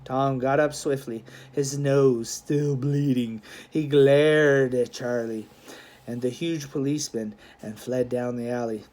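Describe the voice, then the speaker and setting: A man's voice: medium pitch, steady tone, slow pace, darkish tone. Male storybook narrator with a mid-range voice. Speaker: audiobook narrator, schoolmaster.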